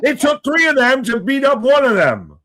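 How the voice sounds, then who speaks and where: A man's voice: extremely high pitch, moderate pace, no room sound. A man co-hosting a live wrestling watch-along stream, cracking jokes and swearing freely. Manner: jokey, excitable, swears freely. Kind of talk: casual live-stream commentary.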